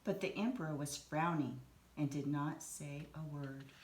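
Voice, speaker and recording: A woman reading a children's story aloud in an expressive, deliberate storytelling manner. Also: deep voice. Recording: roomy echo, some background noise.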